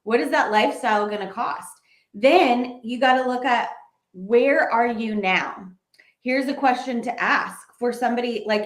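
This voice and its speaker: A woman co-hosting a casual advice chat, explaining steadily and listing practical questions. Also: on the high side. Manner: steady, explanatory, lists questions, light laughs. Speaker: co-host. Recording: roomy echo.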